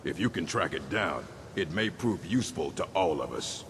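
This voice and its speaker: An actor playing a gruff, shrewd old orc librarian in a video game, with a blunt, dry manner. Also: male voice, big pitch swings, normal speed. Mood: businesslike, shrewd. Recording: clear low rumbling background noise.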